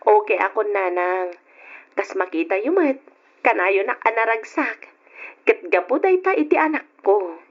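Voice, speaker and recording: A woman playing a resolute young single mother in a radio drama, earnest and husky, with an Ilocano accent. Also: fairly high pitch, big pitch swings, slow pace, heavily acted. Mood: defiant, fond. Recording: dull old radio drama recording.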